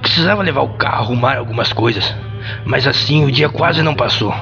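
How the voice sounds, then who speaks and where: Man acting a gravelly young Brazilian suitor. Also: medium pitch, fairly quick, natural voice. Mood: casual. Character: easygoing, awkward young mechanic's son on date. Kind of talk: amateur radio soap opera acting. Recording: dull lo-fi audio, faint music behind.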